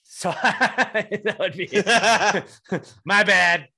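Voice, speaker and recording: A man's voice: high-pitched, drawn-out words, creaky, slightly rough. A man, hosting a casual podcast chat and joking around. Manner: joking, animated, laughs often. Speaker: podcast host.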